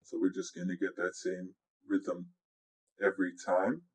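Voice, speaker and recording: Man, low voice, music producer, casual tutorial narration, informal explanatory delivery. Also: completely flat delivery, a little slow. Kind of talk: casual software walkthrough. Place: indoors, voice-over on a screen recording.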